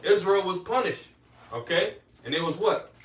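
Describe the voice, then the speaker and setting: A man's voice: high-pitched, expressive, moderate pace, fairly bright tone. A man teaching scripture interactively as a preacher, emphatic, repeating phrases and prompting those around him. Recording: dull, echoey, distant from the mic.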